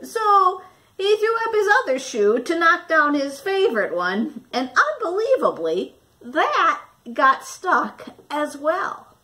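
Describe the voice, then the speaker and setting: A woman reading a children's picture book aloud expressively, with dramatic pauses and questions for young listeners, like a teacher. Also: sits high, big pitch swings, sharp tone.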